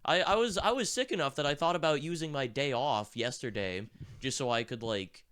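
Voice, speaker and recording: A young man with a sick, rough voice, co-hosting a podcast in rambling casual chat, swearing and laughing often. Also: medium pitch, fairly quick, a bit bright. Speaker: podcast co-host.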